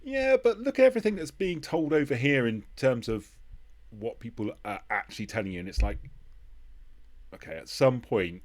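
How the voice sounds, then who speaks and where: A man hosting a casual interview podcast, speaking in halting phrases with repeated starts as he works out his point. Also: medium pitch, animated. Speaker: podcast host.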